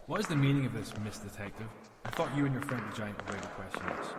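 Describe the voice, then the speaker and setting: A man's voice: fairly low pitch, expressive, racing, echoey room, noisy background, close to an everyday voice. A man playing an indignant, sceptical theatre company member in an audio drama, blunt and challenging. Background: music.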